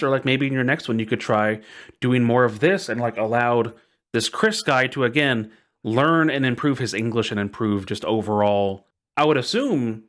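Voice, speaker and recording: Mid-pitched man co-hosting a podcast. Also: a bit bright. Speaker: podcast co-host. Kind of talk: casual podcast discussion.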